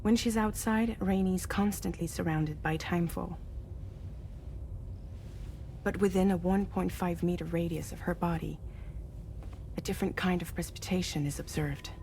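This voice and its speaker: An actress playing an earnest, compassionate crewmate in a video game, explaining things in a measured way. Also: female voice, lowish voice, fairly even intonation, dark tone, a little hiss or hum, natural voice. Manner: measured, expository. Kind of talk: video game cutscene dialogue.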